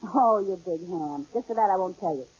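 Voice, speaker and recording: An actress with an American accent playing a scatterbrained, playful, affectionate housewife in a 1950s-style radio comedy. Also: female voice, fairly low pitch, expressive, fairly quick, a little mellow, husky. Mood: adoring. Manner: coaxing, playful. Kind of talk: 1950s radio sitcom acting. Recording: dull, very noisy vintage radio recording.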